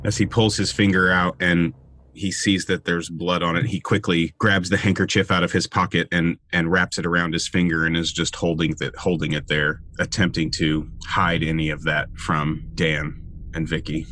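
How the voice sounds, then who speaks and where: A man's voice: sits low, level tone, normal speed, pushed and bright, a little hiss or hum. A man playing a tabletop roleplaying game, narrating what his character does.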